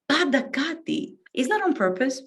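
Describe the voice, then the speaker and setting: A woman's voice: high voice, sing-song, moderate pace. A woman hosting a radio interview, effusive and gushing, mixing Greek and English. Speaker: radio show host.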